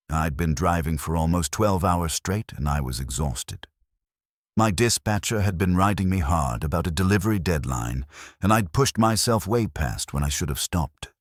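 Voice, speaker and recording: A man's voice: a bass voice, expressive, moderate pace, no room sound, studio quality. A man giving a scripted first-person horror narration in a grave, suspenseful storytelling manner. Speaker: narrator of horror stories, posing as a trucker.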